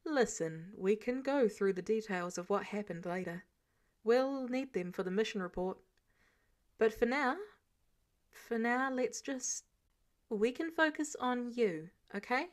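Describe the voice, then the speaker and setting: A woman's voice: on the high side, expressive, measured pace. A woman voicing a wry, protective grown man, a superhero mentor with a casual, sardonic manner, in a story reading. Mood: careful, casual. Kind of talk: character dialogue in fan fiction reading.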